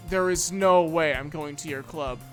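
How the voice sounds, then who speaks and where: A woman voicing a reluctant, sardonic high-school boy who narrates his thoughts in a visual novel fan dub. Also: moderate pace, faint music behind, lightly acted. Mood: dismissive.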